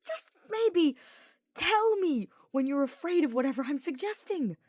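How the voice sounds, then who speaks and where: A woman's voice: high voice, big pitch swings, unhurried, darkish tone, dry recording, clean studio sound, pitched above the performer's own voice. A woman voicing a teasing, easygoing young male knight in a fantasy story, slangy and informal. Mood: huffy.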